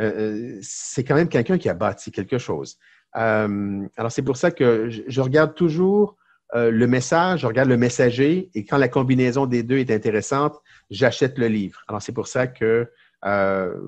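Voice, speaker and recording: A middle-aged man with a Québécois French accent, a lawyer and entrepreneur chatting as a podcast guest, telling lively stories with many fillers and restarts. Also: medium pitch, muffled recording. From Quebec, Canada. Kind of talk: casual interview chat.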